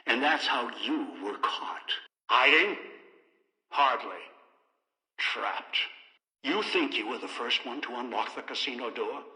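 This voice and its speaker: An actor playing a bitter, scheming old man in a video game, speaking in clipped, deliberate, menacing phrases. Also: medium pitch, expressive, bright, forceful tone, full character voice. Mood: scornful, accusing. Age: older. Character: bitter, scheming old man obsessed with pre-war technology. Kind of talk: video game character dialogue. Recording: echoey, long reverb.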